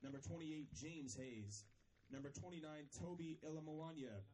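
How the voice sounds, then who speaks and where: A man making formal, measured stadium announcements, reading out names and numbers over a public address system. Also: fairly high pitch, background noise. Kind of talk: formal scripted lineup announcement. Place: outdoor soccer stadium, over loudspeakers. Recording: distant stadium PA pickup.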